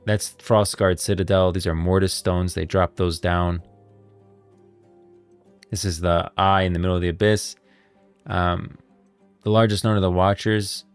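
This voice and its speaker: A man's voice: deep voice, steady tone, measured pace, pauses often, clear, resonant tone. A man giving casual, enthusiastic livestream commentary on game lore, emphatic and opinionated. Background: background music.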